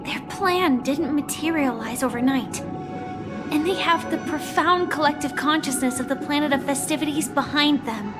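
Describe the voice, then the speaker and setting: An actress playing a gentle, solemn young woman in a video game, speaking softly and earnestly with wistful resolve. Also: high-pitched, moderate pace, music in the background, close to an everyday voice. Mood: grave, earnest. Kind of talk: dramatic video game voice acting.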